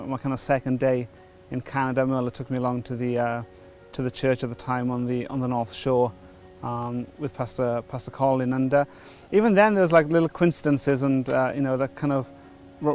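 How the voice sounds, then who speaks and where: A man's voice: medium pitch, a little mellow. A middle-aged Welsh man from Wales, giving a personal testimony before his baptism, hesitant with many fillers and restarts. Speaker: church member before baptism. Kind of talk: testimony interview.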